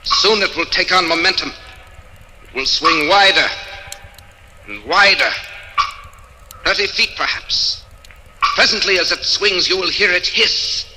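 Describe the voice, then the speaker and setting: An actor playing a ghostly Inquisition friar, coldly menacing and deliberate, in 1940s radio horror style. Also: male voice, sits high, bright, forceful tone, slight background noise, heavily acted, rough recording. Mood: gloating menace. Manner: deliberate, formal, sinister. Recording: old radio broadcast with music behind.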